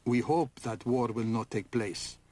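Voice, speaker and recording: A man interpreting into English, in a measured, solemn and declarative way. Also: medium pitch, a little mellow. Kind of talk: formal consecutive interpreting.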